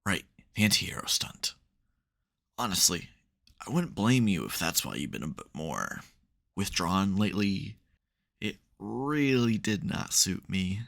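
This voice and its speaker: A man's voice: deep voice, sing-song, a little slow, gravelly, a bit bright, full character voice. A man playing a smug, theatrical supervillain who secretly cares, in a dramatic, wry, chatty way for an ASMR roleplay. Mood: flustered, fond.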